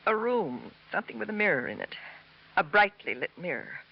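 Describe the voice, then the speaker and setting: A woman's voice: fairly low pitch, very animated, moderate pace, creaky, husky, full character voice. A woman playing a beautiful, vain, grieving widow in a mid-century radio crime drama, with a dramatic, insistent manner. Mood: proud, intense. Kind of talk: 1950s radio drama acting. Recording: muffled, slightly noisy old radio recording.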